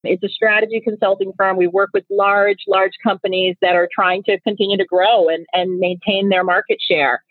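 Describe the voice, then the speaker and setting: A woman's voice: mid-range voice, fairly even intonation, normal speed, few pauses, no echo. Woman, from Nigeria, thirties, reflective interview guest, dry close sound, narrow phone-line audio. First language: Igbo. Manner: earnest, reflective, frequent restarts. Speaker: guest, company founder. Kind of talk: podcast interview answers.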